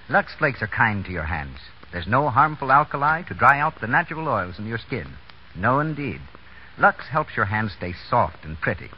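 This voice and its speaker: An American man announcing in polished, persuasive 1930s radio style. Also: mid-range voice. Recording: scratchy muffled 1930s radio broadcast.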